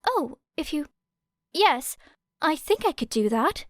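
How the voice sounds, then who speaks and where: A woman's voice: high voice, very animated, slow, no room sound, studio quality, pitched above the performer's own voice, exaggerated voice. A woman voicing a polite, thoughtful teenage schoolgirl in an audiobook, hesitant and well-spoken. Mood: hesitant, curious. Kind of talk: audiobook character voice.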